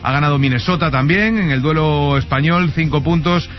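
A man's voice: on the high side, normal speed. A man from Spain hosting a radio sports show, running through the news with wry asides. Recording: dull radio sound with music under.

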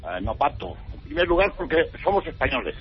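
A man's voice: medium pitch, big pitch swings, a little fast. A man with an accent from Spain calling a radio show, blunt and wry in his casual opinions. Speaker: radio phone-in caller. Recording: dull radio call, music behind.

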